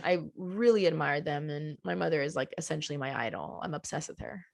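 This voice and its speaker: A woman who grew up in Colombia telling her family story as a podcast guest, animated, laughing easily and slowing down for emphasis. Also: low voice, vocal fry, no echo. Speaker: podcast guest, business co-founder. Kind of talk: casual storytelling interview. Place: at home with a child nearby.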